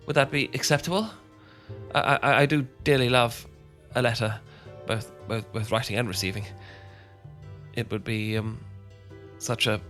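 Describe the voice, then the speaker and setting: Man voicing a young English vampire gentleman, stammering, courteous, old-fashioned, soft background music. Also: mid-range voice, close to an everyday voice. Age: young adult. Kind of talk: ASMR romance roleplay.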